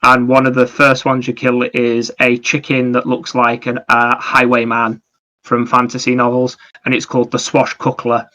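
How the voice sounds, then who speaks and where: Male, gaming podcast co-host. Bright, sharp tone, little pitch movement, rambling explanations. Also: completely flat delivery, normal speed. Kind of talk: casual gaming discussion.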